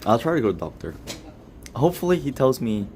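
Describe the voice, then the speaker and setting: A man's voice: medium pitch, big pitch swings, fast, a little mellow, slight background noise. Laughing, self-mocking young man on stream. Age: 20s. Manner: laughs often, self-mocking, talks straight to chat. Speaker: livestreamer. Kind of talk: casual livestream chat reading comments.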